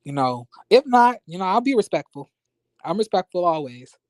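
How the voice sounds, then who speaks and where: American guy doing a casual livestream, kinda high voice, sassy n slangy, dry close sound. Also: normal speed, no echo. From United States, born in rural Florida and raised in Las Vegas. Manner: sassy, slangy, expressive, conversational. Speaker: YouTube reviewer and livestream host. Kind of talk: casual livestream chat with viewers.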